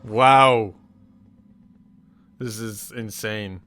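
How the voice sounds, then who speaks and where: A man's voice: lowish voice, fairly even intonation, very slow, a bit bright. A man hosting a reaction video, giving casual, awed, exclamatory commentary.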